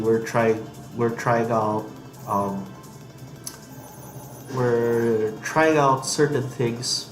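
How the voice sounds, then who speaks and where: A man's voice: on the low side, echoey room. A man with a cold, casually talking through a game demo in an office, hesitant with many ums and restarts. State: has a cold. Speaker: game developer demoing gameplay. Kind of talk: casual gameplay commentary.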